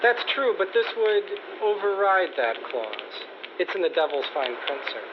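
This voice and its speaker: An actor playing a deferential young page who serves a prince, explaining things politely in a radio drama. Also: male voice, sits high, very animated, normal speed, highs cut off, a little hiss or hum. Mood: earnest. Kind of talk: rhyming verse radio drama.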